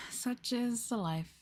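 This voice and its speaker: A woman's voice: on the high side, slightly rough. A woman livestreamer chatting casually and informally to her viewers, rambling along with lots of "like". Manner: informal, rambling, uses "like" and "y'all". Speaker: VTuber livestreamer. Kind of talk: casual soft-spoken livestream chat to viewers.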